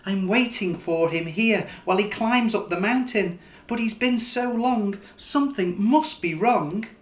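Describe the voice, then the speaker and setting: A man voicing a polite, earnest little boy with a gentle manner in a children's picture book. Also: sits high, unhurried, echoey room, pitched above the performer's own voice. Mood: worried.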